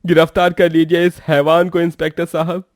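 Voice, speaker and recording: A man's voice: fairly high pitch, monotone, a little fast, no echo, studio quality, close to an everyday voice. A man voicing an honest village eatery owner in a cartoon, speaking firmly and indignantly. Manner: indignant, firm.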